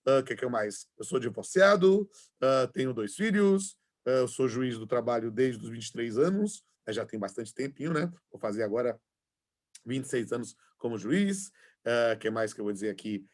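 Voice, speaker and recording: A man's voice: medium pitch, animated, moderate pace. Chatty Bahian man in his forties. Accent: Bahian Portuguese. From Salvador, Bahia, Brazil. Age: 40s. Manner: chatty, filler-heavy, friendly. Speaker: law professor and labor judge. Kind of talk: casual self-introduction in an online class. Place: home, online class. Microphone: video call.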